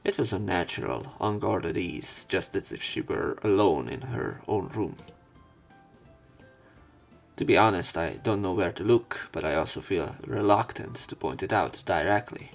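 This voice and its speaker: Low, slightly gravelly man narrating a game. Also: expressive, unhurried. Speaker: let's play narrator and commentator. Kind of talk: reading visual novel text aloud with casual asides. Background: faint game music.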